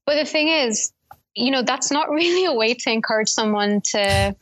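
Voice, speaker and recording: A young woman from Pakistan, raised abroad, speaks as an interview guest in a slightly high voice. She reflects as she goes, with fillers and restarts. The recording is close and dry. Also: normal speed, a bit bright, dry recording. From Pakistan, raised in Saudi Arabia and the UK. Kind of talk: casual conversational interview.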